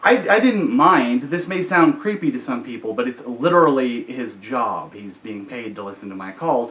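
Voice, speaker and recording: A man's voice: mid-range voice, fairly bright tone. American man, distant mic, explanatory storyteller. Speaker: storyteller, host. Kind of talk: informal explanatory storytelling about personal experience. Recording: slightly distant from the microphone.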